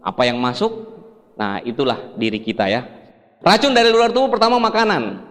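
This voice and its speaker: A man's voice: medium pitch, lively intonation, a little fast, a bit bright. A man from Sulawesi, Indonesia, giving a health seminar in an explanatory, conversational way, putting questions to his listeners. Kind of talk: presentation to an audience. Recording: echoey hall with long reverb.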